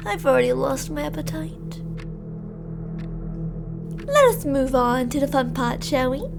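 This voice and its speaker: A woman playing an unhinged, gloating cannibal killer in a scripted ASMR roleplay, with a mock-sweet, theatrical, taunting and drawn-out delivery. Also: sits high, vocal fry, over music, exaggerated voice. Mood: menacing, scornful.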